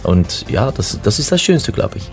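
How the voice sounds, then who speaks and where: A low-pitched man with a gravelly, creaky voice and a noticeable accent, chatting casually as a band member in an interview. He talks haltingly, repeating words while he looks for the phrase. Faint music plays behind him. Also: very animated. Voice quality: speaks with a non-native accent. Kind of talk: casual chat.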